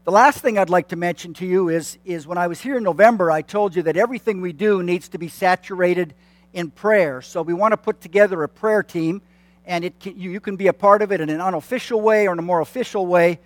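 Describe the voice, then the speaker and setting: A man in his late fifties speaks to a church congregation with a friendly, self-deprecating manner, pausing on plenty of fillers and restarting his sentences. His voice sits high for a man, and the sound is dry and close, with little room echo. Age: 50s. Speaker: church consultant. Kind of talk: announcements to a congregation. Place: church sanctuary.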